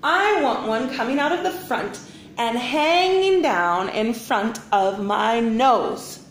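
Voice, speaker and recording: A woman voicing a stubborn, defiant young schoolgirl in a storybook, with emphatic, drawn-out words. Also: on the high side, expressive, reverberant, slight background noise. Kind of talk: storybook read-aloud character voice.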